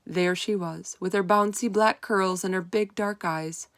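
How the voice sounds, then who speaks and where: A woman reading a children's story aloud in an expressive, emphatic way, stretching out key words. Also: medium pitch, unhurried, dry recording, studio quality. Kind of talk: expressive children's read-aloud narration in first person.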